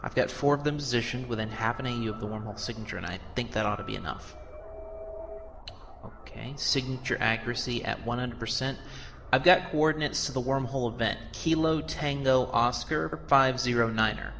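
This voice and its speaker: A man's voice: medium pitch, fairly quick, gravelly, natural voice. A man playing a matter-of-fact, technical spaceship science officer in an audio drama. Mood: businesslike. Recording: reverberant hall, noisy ship ambience.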